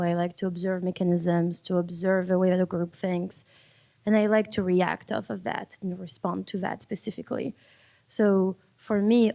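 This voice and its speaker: Woman w/ on a panel, soft dark voice, repeats herself thinking it thru. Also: on the low side, creaky. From France. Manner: reflective, repeats words while finding them. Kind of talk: panel discussion. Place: public panel event.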